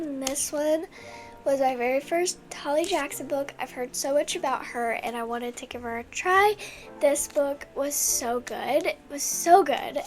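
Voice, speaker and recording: A young woman with a noticeably high voice talks in a loose, chatty ramble, often restarting her sentences. Her head cold makes her sound stuffy and congested, and soft music plays faintly behind her. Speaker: book vlogger. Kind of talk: casual chat.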